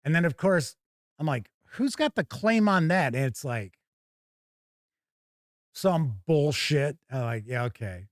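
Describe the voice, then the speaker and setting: Male livestream host, middle-aged, slightly high voice, dry close sound, rambling and informal delivery. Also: animated, slow pace, pauses often, dry recording. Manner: rambling, chatty, informal with mild swearing. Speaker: host of a tabletop gaming livestream. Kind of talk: casual livestream chat and product first look.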